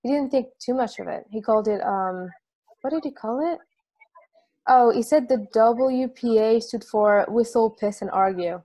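A woman's voice: fairly high pitch, moderate pace, dark tone, low-quality recording. A young woman playing a casual, guarded traveler chatting at a bar, in an online table read of a stage play. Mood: reminiscing. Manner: casual, plainspoken. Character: plainspoken, guarded female traveler, easygoing bar customer. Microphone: video call microphone.

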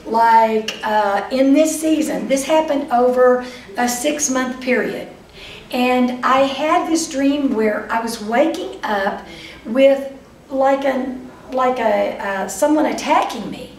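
An older woman with a Texas accent giving a lively, chatty lecture to a live audience, speaking straight to them. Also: high-pitched, takes it slowly, recorded from a distance, slight background noise. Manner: lively, chatty, addresses audience directly, acts out voices of dreams. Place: meeting room before a whiteboard. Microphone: far camera. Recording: roomy echo, voice from afar.

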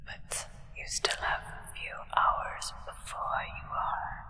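A young woman playing a solemn, devoted vampire acolyte in a hushed, reverent ASMR roleplay whisper. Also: long reverb tail, natural voice. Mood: calm, warning. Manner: hushed, slow, reverent, deliberate. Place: indoors, small draped set.

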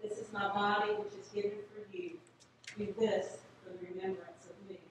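An older woman, a priest, recites liturgical prayer in a solemn, measured, declaiming manner. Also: mid-range voice, level tone, recorded from a distance. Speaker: Episcopal priest. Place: inside a small church at the altar. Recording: echoey church from afar, noisy background.

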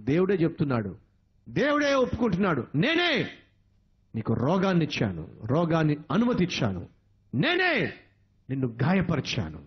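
Husky high-voiced preacher man. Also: huge pitch swings, fairly quick, lo-fi sound. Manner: emotional, repetitive preaching with dramatic pitch swings. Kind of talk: sermon.

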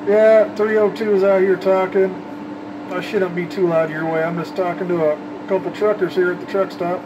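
Folksy higher-voiced man chatting over noise. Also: little pitch movement, normal speed, highs cut off. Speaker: CB radio operator, channel host. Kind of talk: casual CB radio chat. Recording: background hum and noise.

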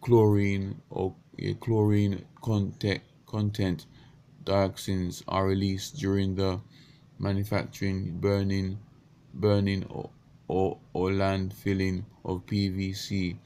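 Croaky low-voiced man rambling on webcam. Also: monotone, warm tone, a little hiss or hum. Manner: halting, many restarts and stumbles. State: croaky morning voice. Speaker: vlogger. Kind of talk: unscripted casual monologue. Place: small cluttered bedroom. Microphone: webcam at close range.

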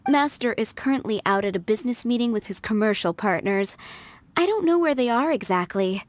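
A woman's voice: medium pitch, dry recording, natural voice. A woman voicing a poised, courteous head maid in a video game, formal and subtly dry. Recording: game music behind.

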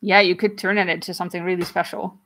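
Woman, 30s, non-native English speaker, livestream host; relaxed, chatty, lots of fillers and laughs, recorded on a webcam in a small home room. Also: lowish voice. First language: not English. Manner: chatty, frequent "um"s and quick laughs, gestures while explaining. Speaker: comic artist and livestream host. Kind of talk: casual livestream Q&A. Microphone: webcam at desk distance.

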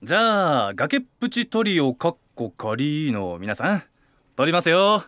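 A man playing an upbeat, encouraging male photographer directing a shoot in an audio drama, brisk and coaxing. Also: fairly high pitch, very animated, a bit bright. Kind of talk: anime-style voice drama acting.

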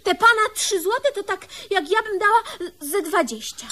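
A woman's voice: extremely high pitch, lively intonation, normal speed, bright, forceful tone, full character voice. An actress playing a doting, lovestruck older woman in a comedic radio play, gushing and coaxing in a motherly way. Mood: fussy, coaxing.

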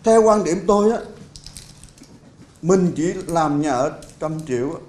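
A man's voice: on the high side, animated, a little mellow. Man speaking in an echoey press room. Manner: measured, explaining a policy view with restarts. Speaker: city construction official. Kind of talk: press conference remarks. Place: press conference hall. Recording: roomy hall sound with faint ambience.

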